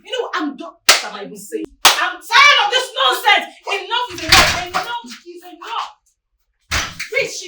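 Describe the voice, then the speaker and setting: An actress playing a furious, betrayed wife, scolding and confrontational in a melodramatic drama. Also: female voice, extremely high pitch, very animated, moderate pace, very noisy. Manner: scolding, confrontational, repeating demands. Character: furious betrayed wife throwing out her cheating sister. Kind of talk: melodramatic screen drama acting.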